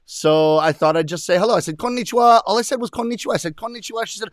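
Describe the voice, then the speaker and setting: High-voiced man telling comic stories. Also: very fast, no echo, studio quality. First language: English. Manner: animated, shouts put-on voices of Japanese ladies. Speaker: rakugo comedian. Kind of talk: stand-up storytelling to an audience.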